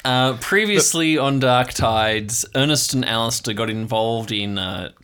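A man hosting and narrating an improvised audio drama, finding his words as he goes in a halting delivery. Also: mid-range voice, fairly bright tone, smooth. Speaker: host, show creator and narrator.

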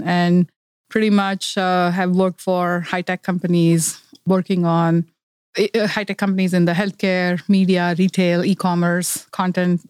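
Woman from india talking about her startup, smooth clear voice, dry close sound. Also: medium pitch, a little mellow, no room sound. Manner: earnest, explanatory, with frequent fillers. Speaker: startup founder. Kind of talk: podcast interview.

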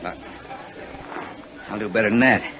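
A man's voice: lowish voice, a little slow, sharp tone. An actor playing an emotional, blunt frontier father in a 1950s radio western. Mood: softened. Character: gruff, hot-tempered frontier father who softens. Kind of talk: 1950s radio drama acting. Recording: muffled vintage radio recording, crowd murmur.

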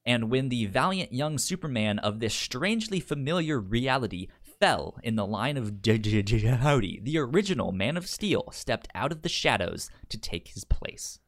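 A man co-hosting a casual podcast, with a strongly animated pitch range. He has a pronounced stutter, often repeating opening sounds and syllables as he chats or reads aloud. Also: normal speed, few pauses. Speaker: podcast co-host. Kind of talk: casual podcast chat, reading synopses aloud.

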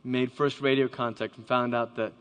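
A man's voice: medium pitch, level tone, moderate pace, a bit bright. A man giving a dramatic storytelling talk to a live audience, acting out the voices he quotes. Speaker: speaker. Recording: long hall reverb.

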